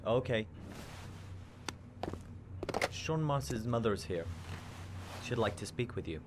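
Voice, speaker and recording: A man playing a calm police officer in a video game drama, speaking in a matter-of-fact way. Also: medium pitch, takes it slowly. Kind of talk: cinematic video game acting. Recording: clearly noisy background ambience.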